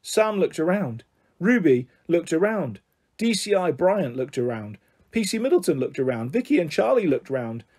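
Male audiobook reader for children, animated and slightly rough-edged. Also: fairly high pitch, normal speed. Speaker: storyteller reading a children's novel. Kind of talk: expressive storybook narration.

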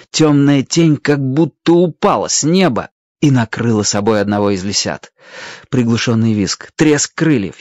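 An expressive narrator reading a children's animal story in a dramatic, scripted storytelling manner. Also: mid-range voice, dry recording, dull audio. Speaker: audiobook narrator.

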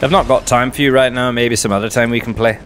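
A man's voice: mid-range voice, fairly even intonation, quick pace, very noisy. A man giving casual let's play commentary, muttering half to himself and half to the game. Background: video game sound.

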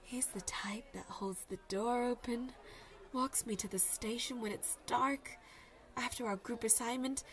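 Casting: actress as chatty, lovesick college girl for audio roleplay; high pitch, swoony, dramatic delivery. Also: measured pace, close to an everyday voice. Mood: dreamy, smitten. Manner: gushing, dramatic, babbly, sighing. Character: lovestruck, chatty young female student gushing about a crush. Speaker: voice actor. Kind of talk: audio roleplay, one-sided conversation with friends.

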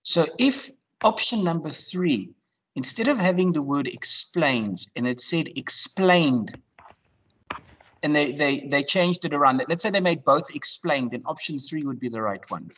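A woman's voice: sits low, animated, unhurried, breathy edge. A woman student in an online class, hesitantly asking questions to check her understanding.

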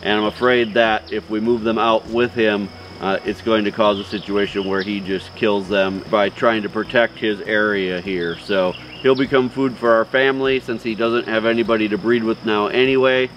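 A man's voice: on the low side, fairly even intonation, moderate pace, hardly any pauses, a bit bright, lo-fi sound, slight background noise. A man in his forties hosting a homestead vlog, speaking casually to camera outdoors on a farm.

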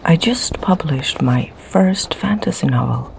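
Low whispering Swedish woman. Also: expressive, creaky, a little hiss or hum, rough recording. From Sweden. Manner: soft, slow whisper. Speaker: ASMR creator and storyteller. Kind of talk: whispered ASMR storytelling. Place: home library, candlelit.

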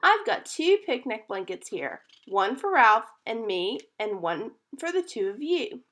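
A woman reading aloud, voicing a patient, gently teasing mother figure. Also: a little high, big pitch swings, measured pace, full character voice.

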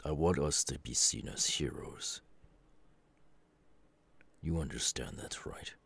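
A stern middle-aged superhero father, acted out by a man for an ASMR roleplay. He is brooding yet caring, with a bottomless low voice that crackles with fry. He talks slowly and weightily, with halting pauses. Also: fairly bright tone, exaggerated voice. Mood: weary, earnest. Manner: slow, deliberate, halting delivery with sighs. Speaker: voice actor.

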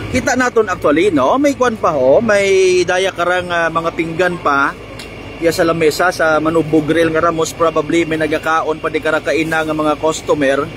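A Filipino male field reporter with a fairly high voice delivers live on-scene commentary. He improvises haltingly as he addresses viewers. The outdoor roadside recording carries clear vehicle and ambient noise. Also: steady tone. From Philippines. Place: outdoors on a road at a fire scene.

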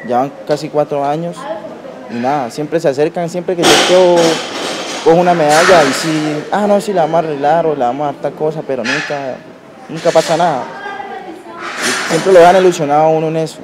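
A man's voice: on the high side, fairly quick, heavy background noise. A nineteen-year-old man with a Colombian accent from Valle del Cauca, speaking plainly and informally as an athlete in a documentary interview. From Yumbo, Valle del Cauca, Colombia. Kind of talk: documentary interview, personal account. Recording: music under the voice. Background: music.

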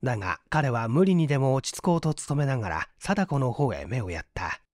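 A man's voice: mid-range voice, big pitch swings, a little fast, darkish tone, no echo. A man reading literary fiction as a dramatic audiobook narrator in a close, dry recording. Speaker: narrator, story reader.

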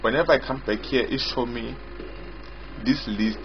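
A man giving an unscripted software walkthrough as its developer, explaining haltingly and repetitively in short phrases. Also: mid-range voice, gravelly, slight background noise. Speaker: software developer demonstrating own app. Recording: dull lo-fi audio with loud music. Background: loud music.

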